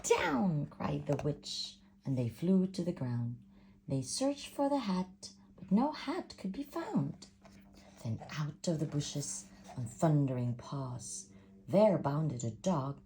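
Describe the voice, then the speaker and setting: A woman reading a rhyming picture book aloud with an expressive, rhythmic delivery. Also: low-pitched, takes it slowly, a little hiss or hum. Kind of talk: storybook read-aloud.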